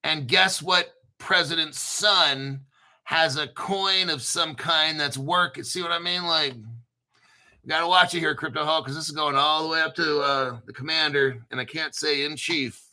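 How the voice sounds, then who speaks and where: Bright-voiced man hosting a crypto show. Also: on the high side, a little slow. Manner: conspiratorial, spells out names in letters, swears casually. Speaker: crypto channel host. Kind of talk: casual solo commentary.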